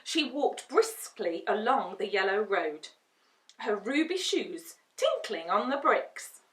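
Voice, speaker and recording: A woman reading a children's storybook aloud as an expressive storyteller. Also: mid-range voice, slow. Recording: roomy, distant microphone.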